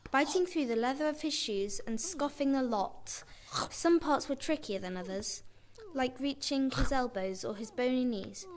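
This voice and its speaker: A woman's voice: high-pitched, a little hiss or hum. A young girl narrating a scripted radio play as its storyteller. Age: child or young performer.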